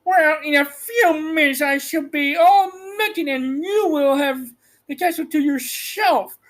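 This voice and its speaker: A wicked old witch from a children's storybook, as a male narrator puts her on. The voice is pushed well up high and turns shrill and wailing. She sounds cunning and spiteful, drawing out her words. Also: unhurried, a bit bright. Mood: despairing.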